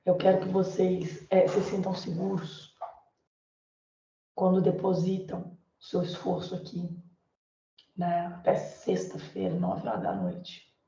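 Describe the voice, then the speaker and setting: A Brazilian woman teacher with a hoarse voice from a viral illness, lecturing informally in an online class, full of fillers and tag questions. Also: on the low side, warm tone. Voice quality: hoarse, gravelly. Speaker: Portuguese teacher. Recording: echoey, dull lo-fi room sound.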